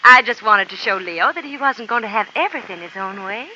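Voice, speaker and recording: A woman's voice: mid-range voice, lively intonation, brisk, sharp tone. An actress playing an anxious young woman pleading for her father, in a vintage radio drama on a dull, hissy old recording. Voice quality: pleading, earnest. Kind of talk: 1950s radio drama acting.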